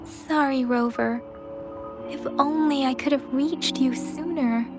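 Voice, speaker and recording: A high, youthful voice over clear music: a young warrior heroine in a video game. She is earnest and protective, speaking with concern for her companion. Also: fairly even intonation, takes it slowly. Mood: concerned, apologetic. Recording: music behind the voice.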